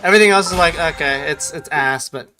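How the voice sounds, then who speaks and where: A man's voice: fairly high pitch, a little fast, pushed and bright, poor audio. A man streaming a game, chatting casually and muttering to himself in short, fragmented remarks. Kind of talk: casual livestream gameplay chat. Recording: game music behind the voice. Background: game music.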